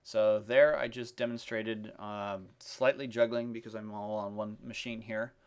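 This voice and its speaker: Male tutorial presenter, lowish pitch with heavy vocal fry, unscripted and halting, walking through each step of a software demo. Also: steady tone. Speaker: software demonstrator. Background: keyboard typing and mouse clicks.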